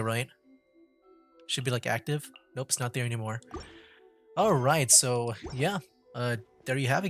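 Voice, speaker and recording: Male game streamer, mid-pitched voice, casual chat, rambling, repetitive phrasing, music in the background. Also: a bit bright. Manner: rambling, repeats phrases, heavy use of "like". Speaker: livestreamer, VTuber. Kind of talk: casual gaming livestream chat.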